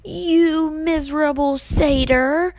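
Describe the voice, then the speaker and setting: A sinister old female monster played by a woman narrator speaks slowly, in a close, dry recording. She coaxes like a kindly grandmother in a storybook, with menace and spite underneath. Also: medium pitch, darkish tone, no room sound. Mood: snarling rage. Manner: coaxing purr that breaks into snarls. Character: sinister grandmotherly female monster, falsely sweet, then vengeful.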